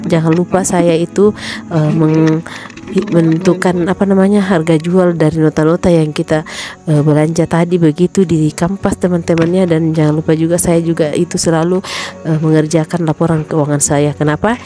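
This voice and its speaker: A shopkeeping woman with a rural Indonesian accent talks her viewers through her day in a relaxed, rambling way, full of fillers and friendly asides. Her voice sits low and mellow, with soft background music just audible behind her. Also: moderate pace. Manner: chatty, many fillers, addresses viewers as friends. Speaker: small shop owner running a banking agent. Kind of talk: casual vlog narration.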